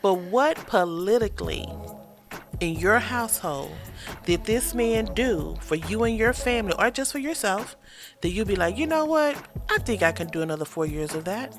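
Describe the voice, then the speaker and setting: A woman's voice: mid-range voice, animated, moderate pace, over music. A woman co-hosting a podcast with her husband, talking in casual political chat, listing points wryly with sarcasm and frequent fillers. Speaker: podcast co-host.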